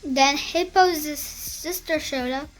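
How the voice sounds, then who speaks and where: A child reading a picture book aloud in a careful, halting beginner's way, with music behind. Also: high voice, a bit bright, a little hiss or hum, rough recording.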